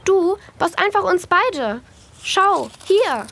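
High-voiced plucky young girl, reverberant. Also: very animated, takes it slowly, fairly bright tone, exaggerated voice. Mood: determined, cheeky. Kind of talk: children's audio drama acting. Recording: long reverb, music behind. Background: music.

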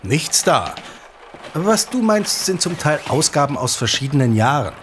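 A man's voice: a little high, big pitch swings, measured pace, fairly bright tone, slight background noise. A man playing a bookish, pedantic teacher father in an audio drama, with a precise, lecturing manner. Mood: instructive.

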